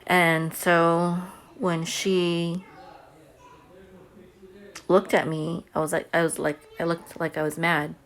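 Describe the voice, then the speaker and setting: A woman's voice: lowish voice, level tone, slow, long pauses, low-quality recording. A homeschooling mother telling a personal story to camera in a chatty, earnest way. She says she has a big voice.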